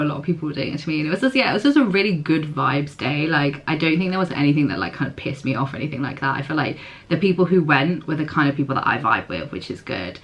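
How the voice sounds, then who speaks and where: A young woman with a husky, hoarse voice, chatting casually to camera in a vlog and using lots of fillers. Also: low voice, fairly bright tone. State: hoarse, recovering voice. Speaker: vlogger.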